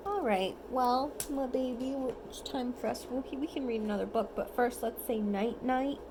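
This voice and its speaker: High-voiced woman reading a bedtime story, noisy recording. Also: normal speed. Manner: animated, child-directed, asks the child simple questions. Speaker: parent reading a bedtime story to a small child. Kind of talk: expressive bedtime storybook read-aloud.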